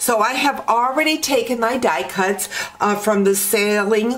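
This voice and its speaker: A woman teaching card making step by step at her craft table, talking in a relaxed way with many ums and uhs. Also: fairly high pitch. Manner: slow, relaxed talk with frequent ums and uhs.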